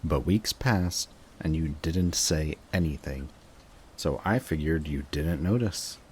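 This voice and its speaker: Very deep, slow-talking bitter villain played by a man. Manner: intense, sardonic, dramatic pauses, swearing. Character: bitter adult male villain, guarded, secretly devoted to rival. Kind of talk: emotional audio roleplay monologue to the listener. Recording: clearly audible background noise.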